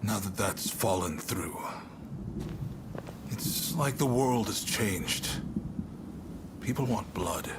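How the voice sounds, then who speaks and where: Musing samurai-era mentor over noisy ambience. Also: male voice, lowish voice, animated, slow pace, pauses often. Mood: wistful, musing. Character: reflective, wry male mentor and ally of a samurai. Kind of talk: video game cutscene acting.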